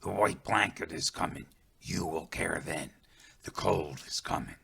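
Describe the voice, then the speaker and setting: A calm, stern forest spirit guide speaks in a hushed, husky whisper, as a male storyteller puts him on. His words come slow and measured, low and gravelly. It is a solemn storybook voice for a wise woodland mentor. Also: heavily acted.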